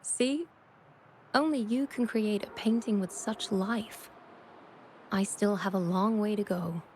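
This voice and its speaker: A woman's voice: medium pitch, slow, a little mellow, a little hiss or hum, lightly acted. A woman playing a remorseful, self-doubting woman painter in a video game, with a subdued, rueful delivery. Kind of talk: video game story dialogue acting.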